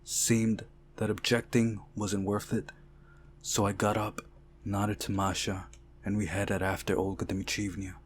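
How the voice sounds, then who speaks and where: A man with a low voice narrates a story from a visual novel at an unhurried pace. He reads aloud and now and then repeats a phrase. Noisy ambience with birdsong sits behind him. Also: little pitch movement. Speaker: visual novel player narrating and voicing the game's text.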